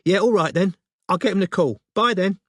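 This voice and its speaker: A man's voice: high-pitched, fairly quick, dry recording, studio quality, pitched above the performer's own voice. A man voicing a suspicious, belligerent woman with a London accent who answers a phone with short, blunt questions. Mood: curt.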